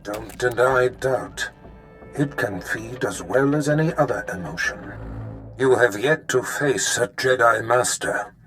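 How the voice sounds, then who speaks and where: An actor playing a cold, scheming male Sith lord in a video game, giving orders in an imperious, deliberate manner. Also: medium pitch, expressive, fairly bright tone, faint music behind. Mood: cautioning, menacing. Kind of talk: dramatic scripted game dialogue.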